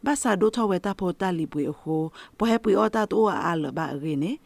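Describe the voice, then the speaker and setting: A woman's voice: medium pitch, a little fast, creaky, a little mellow, no echo. A woman radio news presenter reading a scripted bulletin.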